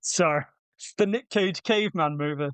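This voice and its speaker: A man's voice: on the high side, a little slow, clean tone, no echo. A man co-hosting a casual film podcast, bantering and teasing his co-host in a jokey way.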